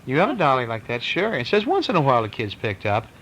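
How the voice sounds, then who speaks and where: A man reading a bedtime story at home in a conversational, expressive way, with casual asides to a child. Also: fast talker. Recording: noisy home recording with low rumble. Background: small child chiming in.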